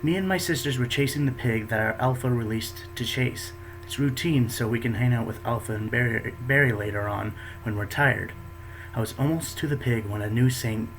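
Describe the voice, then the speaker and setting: A man narrating in the first person as a protective female raptor, the beta of her pack, in a steady storytelling way. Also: medium pitch, slight background noise, natural voice, low-quality recording.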